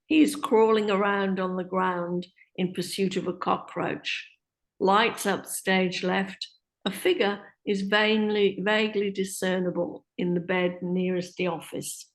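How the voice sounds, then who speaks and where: A woman's voice: medium pitch, flat delivery, unhurried. A woman reading stage directions as narrator in a scripted play reading.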